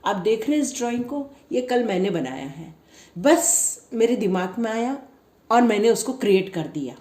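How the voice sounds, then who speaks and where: Woman tarot reader, throat a bit sore, mellow voice, echoey room, gently encouraging. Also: on the high side. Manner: gentle, encouraging, repetitive guidance. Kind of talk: pick-a-card tarot reading, instructional.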